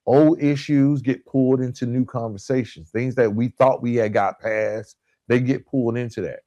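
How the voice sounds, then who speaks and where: A man's voice: medium pitch, fairly even intonation, moderate pace, warm tone, dry recording, highs cut off. A man hosting a podcast, giving a motivational coaching monologue, repeating key points for emphasis and often checking in with his listeners. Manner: emphatic repetition, checks in with "right?" and "guys". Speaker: podcast host.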